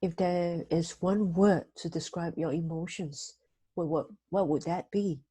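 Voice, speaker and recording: A woman hosts a motivational talk, giving weekly tips in a gentle, measured way. Her voice is mellow and slightly breathy. The sound is dry and close, with no room echo. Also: on the low side, fairly even intonation. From Singapore. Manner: gentle, measured, frequent "you know" fillers.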